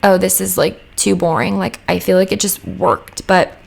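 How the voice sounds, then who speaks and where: Hoarse chatty young woman with gravelly fry. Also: fairly low pitch, normal speed. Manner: rambling, chatty, lots of "like" fillers. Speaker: Sims speed build creator. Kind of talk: casual voice-over chat. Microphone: home mic voice-over.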